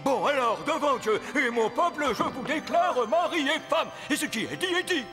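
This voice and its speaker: A man's voice: extremely high pitch, animated, moderate pace. A man voicing an imperious, stubborn king and exasperated father in a French children's fairy-tale cartoon, with commanding, declamatory delivery. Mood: pompous, decisive. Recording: long echoing reverb, music underneath.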